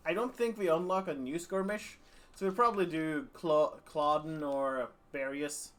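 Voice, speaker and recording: Rambling male game streamer, a bit high. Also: normal speed. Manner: rambling, relaxed, self-deprecating. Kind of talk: casual game stream commentary.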